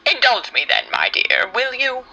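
A man's voice: very high voice, wildly swooping pitch, normal speed, creaky, lo-fi sound, music in the background, exaggerated voice. A man voicing a sinister, suave male demon who talks in a theatrical, mocking way with honeyed menace. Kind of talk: fan comic dub.

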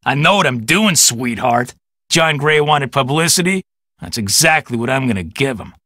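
An actor playing a cocky, self-important police detective in a video game, boastful, glib and condescending. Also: male voice, medium pitch, expressive, normal speed, sharp tone, no room sound, studio quality. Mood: combative, dismissive. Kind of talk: video game character dialogue.

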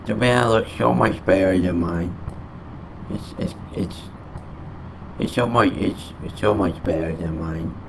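A man's voice: deep voice, unhurried, creaky, noisy background. A young adult man rambling casually on a gaming vlog in a sweary, grumbling manner. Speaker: gaming channel vlogger. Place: small home room, indoors.

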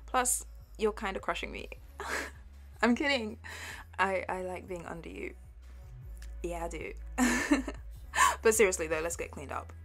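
A woman's voice: on the low side, measured pace, pauses often, fairly bright tone, soft background music. A young woman playing a flustered, playful artsy college girl with a crush, stammering and giggly in an intimate audio roleplay. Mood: flustered, tender. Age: young adult.

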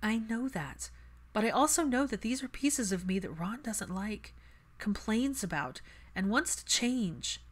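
A woman's voice: on the high side, animated, unhurried, pitched above the performer's own voice. A woman reading a storybook as a stubborn, impassioned adult daughter who argues emphatically. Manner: emphatic, argumentative. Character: Hermione, stubborn, impassioned adult daughter standing her ground.

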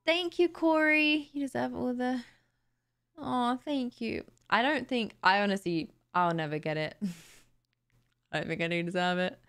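A young woman streaming from her room late at night, tired and a little raspy, chatting casually and thanking viewers on a close studio mic. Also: on the high side, unhurried, fairly bright tone. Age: young adult. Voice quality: slightly raspy today. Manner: chatty, bubbly, laughs easily. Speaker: live streamer. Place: home streaming room, indoors. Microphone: boom studio mic close to mouth.

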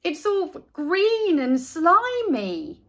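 A woman's voice: very high voice, wildly swooping pitch, drawn-out words, pitched above the performer's own voice, full character voice. A woman voicing a mocking, disgusted schoolboy in a storybook, drawing words out with exaggerated disgust.

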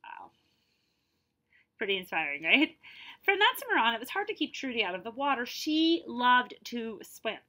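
A woman reads aloud to children in a fairly high voice with very lively, swooping pitch. Her tone is bright and her delivery emphatic, drawing out key words and pausing to explain things in simple language. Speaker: librarian reading aloud. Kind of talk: picture-book read-aloud with explanatory asides for children. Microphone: device camera at about arm's length.

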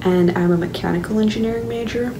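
A young woman from Guyana speaking in a friendly, steady, slightly recited way, giving a webcam testimonial as a student from her bedroom. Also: mid-range voice, fast talker. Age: young adult. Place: bedroom at home. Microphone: laptop webcam. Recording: webcam audio with clear hum.

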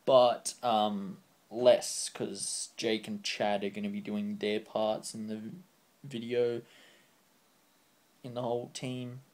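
Young dude rambling thank-yous into a webcam, kinda croaky, totally off the cuff. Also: male voice, mid-range voice, darkish tone. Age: young adult. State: voice getting croaky. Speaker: channel host. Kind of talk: unscripted vlog sign-off. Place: small room indoors, on a couch.

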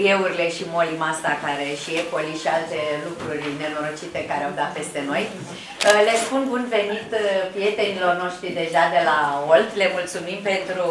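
A woman in her fifties giving a heartfelt, emotional welcome speech at a museum exhibition opening. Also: lowish voice. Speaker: official speaking at an exhibition opening. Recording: roomy hall, distant mic, noise.

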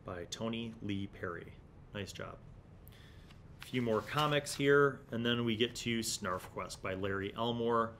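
An American man in his forties hosting a retro gaming review with relaxed, nostalgic, casual commentary. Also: a little slow. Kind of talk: casual commentary while leafing through an old magazine. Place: small indoor studio room. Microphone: large desk condenser microphone. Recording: clear low background rumble and noise.

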